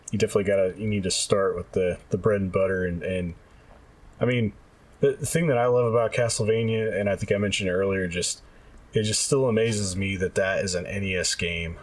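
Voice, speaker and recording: Guy with a deeper voice chatting on a gaming podcast, bit far from the mic, thinks out loud haltingly. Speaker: podcast co-host. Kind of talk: casual video game chat. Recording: slightly distant from the mic.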